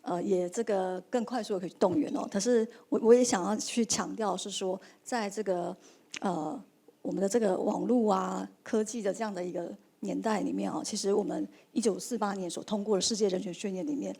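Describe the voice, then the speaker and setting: A woman on a panel talks fast in a husky voice. Her tone is mellow, with many fillers. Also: mid-range voice, fairly even intonation. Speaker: panel speaker. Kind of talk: panel talk.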